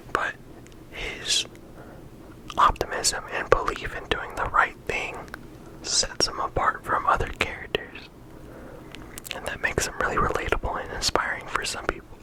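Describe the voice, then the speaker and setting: A person whispering in ASMR style, talking through a discussion in a casual, halting way with lots of filler words. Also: bright, forceful tone, low-quality recording. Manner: casual, halting phrasing with frequent "like" and "you know". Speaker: video creator and host.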